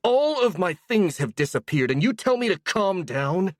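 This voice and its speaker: A storyteller voicing a hot-tempered young woman who shouts and sneers with sarcasm. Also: sits low, big pitch swings, normal speed, no room sound, clean studio sound, pitched above the performer's own voice. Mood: furious.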